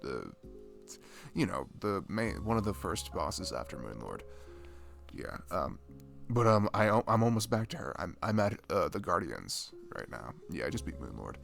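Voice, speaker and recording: Guy acting as dorky shy gamer best friend, low gravelly voice, rambles and stammers, asmr roleplay. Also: very animated, normal speed, a bit bright, soft background music, full character voice. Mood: nerdy, excited. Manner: rambling, stammering, nerdy enthusiasm. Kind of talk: ASMR roleplay, casual chat to listener.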